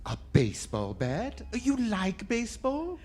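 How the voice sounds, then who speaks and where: A man's voice: high voice, wildly swooping pitch, a little slow, a little mellow, full character voice. A man playing a fussy, theatrical department store Jack Frost, effusive and melodramatic, in old-time radio drama style. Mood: jolly, kindly. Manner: effusive, melodramatic, peppered with endearments. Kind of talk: 1940s radio drama acting.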